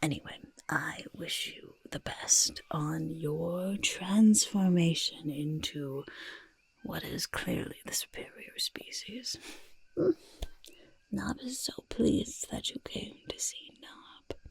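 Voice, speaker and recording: A woman voicing a boastful, evasive goblin potion-maker in soft-spoken, breathy ASMR roleplay, fussing over the listener and hesitantly backpedalling. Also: on the low side, wildly swooping pitch, slow, no echo, exaggerated voice, low-quality recording. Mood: proud, boastful. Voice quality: breathy, husky near-whisper. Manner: refers to self in third person, hesitant backpedalling.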